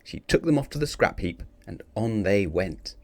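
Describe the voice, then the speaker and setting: A man's voice: lowish voice, lively intonation, moderate pace, vocal fry, no room sound. A man narrating a children's picture book expressively, theatrical on the sound-effect words. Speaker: storybook reader.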